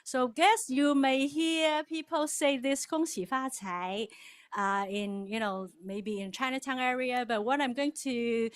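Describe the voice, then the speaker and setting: A woman's voice: sits high, fairly even intonation, a little slow, clear, resonant tone. Chatty woman. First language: Cantonese. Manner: chatty, laughs often, leads the crowd in repeating words. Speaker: guest speaker at a worship service. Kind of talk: casual cultural talk with call-and-response.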